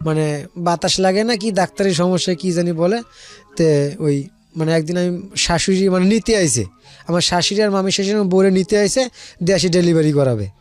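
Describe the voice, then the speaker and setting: A man's voice: sits high, a little fast, soft background music. A man with a Bangladeshi accent telling a personal story in casual, colloquial speech, rambling and repeating phrases as he quotes conversations. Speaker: guest telling their life story.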